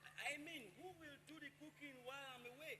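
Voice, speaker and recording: A man's voice: extremely high pitch, fairly even intonation, brisk. A man playing a timid, flustered house servant in a stage play, hesitant, stammering and deferential. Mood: hesitant, pleading. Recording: clearly noisy stage recording.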